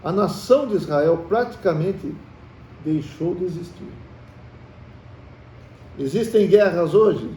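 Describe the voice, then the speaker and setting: A man with a Brazilian Portuguese accent leads a church Bible study, quizzing his listeners and calling them brothers. His voice sits a little high but sounds mellow. He is heard from across a reverberant room. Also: animated, slow, pauses often. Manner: conversational, quizzes the group with questions. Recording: echoey room, from far off, faint hiss.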